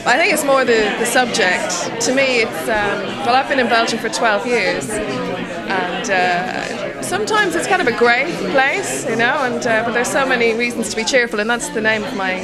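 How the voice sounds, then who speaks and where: A woman with a fairly high voice talks about her paintings lightly and self-deprecatingly. Loud crowd noise from a big hall surrounds her. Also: animated, normal speed. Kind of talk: interview answers. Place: busy art fair hall.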